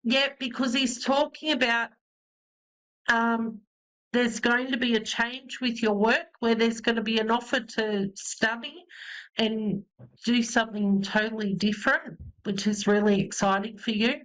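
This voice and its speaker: A woman's voice: on the high side, fairly even intonation, unhurried, a bit bright, low-quality recording. A snuffly woman, giving a psychic reading to a caller in a rambling way with many ums. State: has a cold, snuffly. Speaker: psychic medium host. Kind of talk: live call-in reading.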